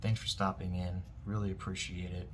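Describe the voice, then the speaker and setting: A man in his thirties reviewing beard products in a relaxed, casual vlog from a dim bedroom, often repeating words of praise. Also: deep voice, moderate pace, background noise.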